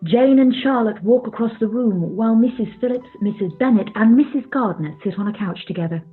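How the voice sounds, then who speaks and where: A woman's voice: on the high side, brisk. A woman narrating a radio play, reading out scripted stage directions over a thin, phone-like connection. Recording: thin phone-like sound, faint music.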